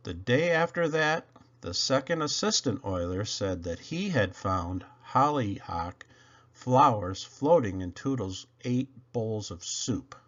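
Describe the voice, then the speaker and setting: A man reading a children's story aloud, narrating expressively with dramatic emphasis and drawn-out words. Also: lowish voice, expressive, a bit bright, dull audio. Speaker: storybook reader.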